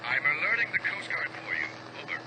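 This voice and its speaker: A man's voice: sits high, lively intonation, brisk. A man playing a businesslike control tower operator, heard through a radio filter in a drama. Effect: radio transmission filter. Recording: noisy radio link, vintage drama.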